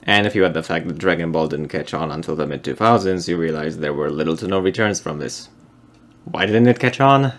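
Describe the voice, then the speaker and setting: A man's voice: low voice, lively intonation, a little fast, fairly bright tone. Wry young man. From Poland. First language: Polish. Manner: dry, wry, explanatory. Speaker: video essayist. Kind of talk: scripted narration.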